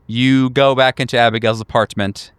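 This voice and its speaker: A man's voice: mid-range voice, fairly even intonation, normal speed, smooth, no echo. A man narrating a tabletop horror game as its game master.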